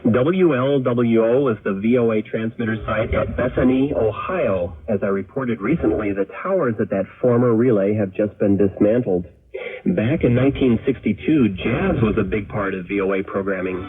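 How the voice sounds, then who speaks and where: An American man hosting a radio program, reading scripted narration in a measured, explanatory way to introduce archive recordings. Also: fairly low pitch, phone-quality sound. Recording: band-limited shortwave radio sound.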